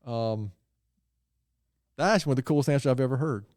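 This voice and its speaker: A man's voice: mid-range voice, moderate pace, darkish tone, no echo, studio quality. A man hosting a podcast, friendly and chatty, with rambling asides as he asks questions. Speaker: podcast host. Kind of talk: casual interview chat.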